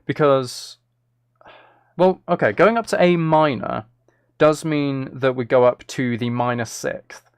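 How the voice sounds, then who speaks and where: A man's voice: mid-range voice, takes it slowly, smooth, no echo, studio quality. A man chatting casually on a live stream, playful and self-deprecating, thinking aloud as he works. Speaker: live streamer and music maker.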